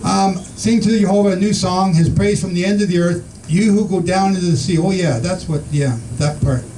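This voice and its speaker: High-voiced man teaching a Bible study. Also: a little fast, dark tone, distant mic, a little hiss or hum, poor audio. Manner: reads scripture aloud and questions the listeners. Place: room with a small group in the Philippines.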